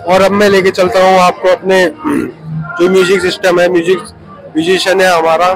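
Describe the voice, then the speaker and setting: A man with a rather high voice and a flat, even delivery. He talks informally, like a vlogger showing people around. He is recorded on a handheld phone in a large indoor venue with music playing behind him. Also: normal speed, creaky, rough recording. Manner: informal, introducing and pointing things out. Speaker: chef vlogging his hotel restaurant. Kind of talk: casual vlog walk-through. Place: large indoor hotel banquet restaurant. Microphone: handheld phone behind the camera. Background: loud music.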